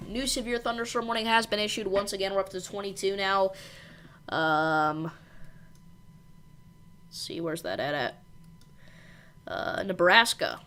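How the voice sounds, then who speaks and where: A woman hosting a live weather stream, briskly reading out storm warnings in an informal way with some fillers. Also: mid-range voice, bright, forceful tone, slight background noise. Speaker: weather livestream host. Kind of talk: live severe weather coverage.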